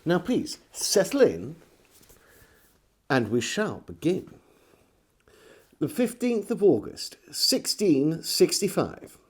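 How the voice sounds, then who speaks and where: Slow, expressive English male storyteller. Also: a little high, husky. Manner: measured, theatrical delivery. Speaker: storyteller and host. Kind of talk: dramatic diary reading, scripted narration.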